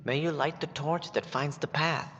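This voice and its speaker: A man voicing a smug, grandiose alien director in a video game, flattering and self-important. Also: on the high side, moderate pace, close to an everyday voice. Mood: officious. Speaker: acting director of a colonial initiative. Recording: dull game audio, long reverb, faint noise.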